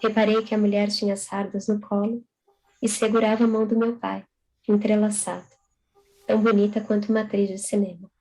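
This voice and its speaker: A young woman writer from Brazil speaking over a video call. Her voice stays flat and level, with little rise or fall, whether she reads her prose or answers questions. The pitch sits in a middle range. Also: a little fast, low-quality recording. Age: young adult. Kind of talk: reading own prose aloud, then conversation.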